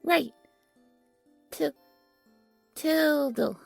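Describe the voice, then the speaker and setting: Little bunny girl, very high small childlike voice, hesitant and stammering, slow pace, audio drama. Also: creaky, no echo, exaggerated voice. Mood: shy, unsure. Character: little bunny girl, timid, eager, devoted granddaughter. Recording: music under the voice.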